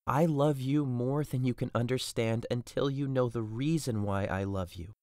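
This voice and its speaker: A man playing an earnest, peace-seeking young gentleman in an audio drama, with a pleading, sincere delivery. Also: medium pitch, moderate pace, no room sound, clean studio sound, lightly acted. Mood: calm, conciliatory.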